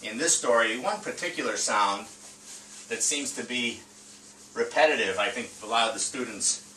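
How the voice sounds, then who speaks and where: A man presenting an informal reading lesson as a teacher, speaking in a hesitant, halting way with frequent filler pauses. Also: medium pitch, pushed and bright. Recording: roomy, distant mic with faint noise.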